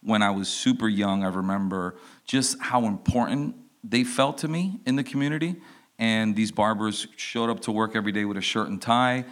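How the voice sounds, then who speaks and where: A man in his forties from Newark, New Jersey, telling his story as a guest on an entrepreneurs' panel in an easygoing, reflective way. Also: fairly low pitch. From Newark, New Jersey, USA. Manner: reflective, community-minded, easygoing.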